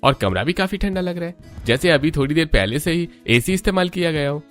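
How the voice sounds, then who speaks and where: Guy acting puzzled trusting young man in a cartoon, highish voice, light music. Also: lively intonation, a little fast, a bit bright.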